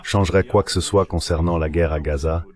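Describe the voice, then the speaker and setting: Low-pitched synthetic male commentator. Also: steady tone, a little fast, a little mellow. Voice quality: synthetic AI dubbing voice. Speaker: political commentator. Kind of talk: translated voice-over of political discussion.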